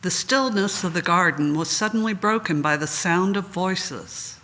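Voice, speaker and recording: A woman reading a sacred story at a church service in a dramatic, deliberate, phrase-by-phrase way. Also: fairly low pitch, lively intonation, fairly bright tone. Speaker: reader at a church Good Friday service. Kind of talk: dramatic scripture narration.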